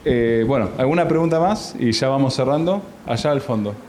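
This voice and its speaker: A man's voice: mid-range voice, animated, brisk, background noise. Argentine man moderating a panel. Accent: Argentine Spanish. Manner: casual, brief. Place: book fair hall.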